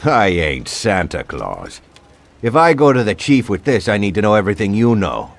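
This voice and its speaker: Gruff cop, low voice, blunt and wary, swings his tone a lot. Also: sing-song, moderate pace. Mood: stern. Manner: blunt, tough street talk. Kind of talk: video game cutscene acting.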